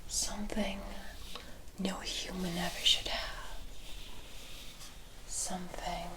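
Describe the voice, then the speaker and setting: A knowing, soothing witch who tells fortunes, acted out by a woman for ASMR roleplay. She whispers breathily and takes her time with every phrase, extremely unhurried and deliberate. The delivery is hushed and mysterious, like a spell being recited. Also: on the low side, flat delivery. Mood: mysterious, grave. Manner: slow, deliberate, drawn-out phrasing with incantation-like repetition.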